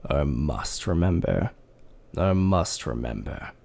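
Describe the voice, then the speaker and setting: A man's voice: extremely low pitch, expressive, creaky, a little mellow, a little hiss or hum, full character voice. A man voicing a methodical skeleton scientist. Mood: resolute. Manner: measured, self-reassuring recitation. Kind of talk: fan dub voice acting.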